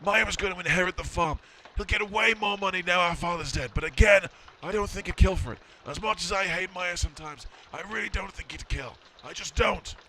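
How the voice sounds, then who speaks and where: An actor playing a defensive, self-pitying, hard-drinking farmer's son, earnest and insistent, in a comic audio drama. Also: male voice, high voice, a little fast, creaky, hardly any pauses, bright, forceful tone, heavily acted.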